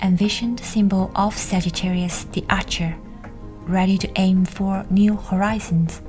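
A woman with a breathy, whispery voice leads a scripted guided meditation in a soothing, unhurried way, with pauses between instructions. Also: mid-range voice, fairly even intonation, a little mellow. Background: music.

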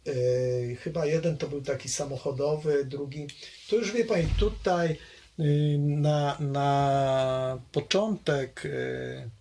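An older man from Poland recalling his childhood in an oral history interview, hesitant and halting, with many fillers. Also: fairly high pitch, dark tone, a little hiss or hum.

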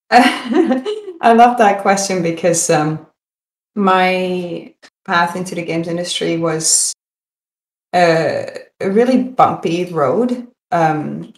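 Lady on a podcast, low voice, bit of an echoey room, chatty interview answers. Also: animated, very slow. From Netherlands. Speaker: podcast guest. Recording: roomy, echoing sound.

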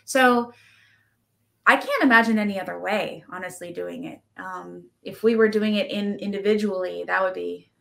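Bright, sharp-voiced woman in casual chat. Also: medium pitch, normal speed, vocal fry. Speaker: band musician, interview guest. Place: indoor room, video call.

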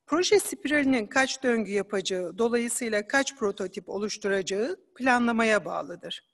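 A woman giving an academic conference presentation, explaining slides in a measured way with occasional hesitant fillers. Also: fairly high pitch, expressive, a bit bright, long reverb tail.

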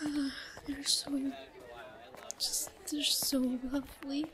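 Breathy, high-pitched obsessive shy schoolgirl played by a woman. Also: completely flat delivery, crowd noise. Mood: hushed pining. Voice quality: breathy, whispery. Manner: giggly, halting. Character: shy, obsessive, lovesick yandere schoolgirl, timid and possessive. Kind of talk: intimate roleplay audio, breathy murmuring to herself. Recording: noisy, crowded ambient background.

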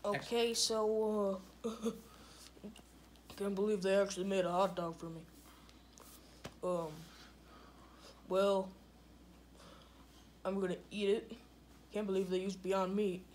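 A woman's voice: medium pitch, steady tone, takes it slowly, long pauses, a little mellow, slight background noise. A woman doing an improvised comedy skit with plush toys on a living room couch, with a drawling, mumbly delivery. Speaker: puppeteer voicing plush toys.

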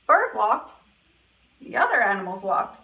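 A woman's voice: fairly high pitch, very animated, measured pace, creaky, far from the mic, dull audio. A woman in her twenties reading a picture book aloud like a nursery teacher, in a roomy indoor recording. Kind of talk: children's storybook read-aloud. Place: small classroom-style room indoors. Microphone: camera a few feet away.

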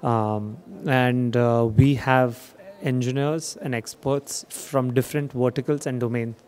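A man's voice: mid-range voice, steady tone, unhurried, a little mellow. A young man presenting his company at a trade show demo theater, hesitant with many fillers. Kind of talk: trade show sales pitch. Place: dark expo demo theater.

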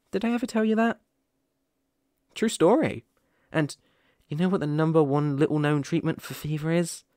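A man's voice: a little high, no echo. A young man playing a caring, playful boyfriend looking after his sick partner in an intimate, soothing ASMR roleplay, close to the microphone. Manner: gentle, soothing, affectionate, chuckling often. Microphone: close microphone, dry.

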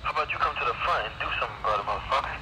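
A man's voice: mid-range voice, expressive, rapid-fire, a crowd in the background, poor audio. An American man posing as a bossy store manager, rude and swearing, heard over a walkie-talkie. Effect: walkie-talkie radio. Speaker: prank accomplice posing as a store boss.

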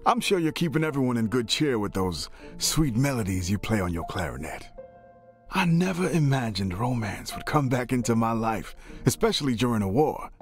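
Music plays under an actor as a proud older brother narrating a letter in a story game. He is a man with a mid-pitched voice, earnest, expressive and full of affection. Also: close to an everyday voice. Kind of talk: scripted dramatic letter narration.

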